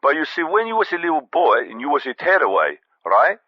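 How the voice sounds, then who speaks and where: Aggrieved kebab-shop dad, radio comedy caller: higher voice, dramatic swings, dull phone line. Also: male voice, big pitch swings, no echo. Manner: dramatic, reproachful, deliberate. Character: aggrieved, emotional male kebab-shop owner and father.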